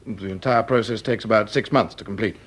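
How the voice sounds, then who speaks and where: A man's voice: fairly low pitch, a little fast, close to an everyday voice. An actor as an earnest, precise male scientist. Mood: obliging, explanatory. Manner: polite, precise, explanatory. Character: obliging, earnest male vaccine scientist explaining carefully. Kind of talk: radio drama acting.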